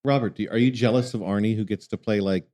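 A man's voice: lowish voice, steady tone, fast, dark tone. A man interviewing stage actors in a chatty way, laughing easily. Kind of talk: conversational interview.

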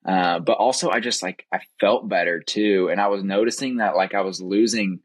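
Low-pitched young man chatting casually, dry sound. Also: fairly even intonation, moderate pace, husky, no room sound. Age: young adult. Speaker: podcast guest. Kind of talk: casual conversational personal storytelling.

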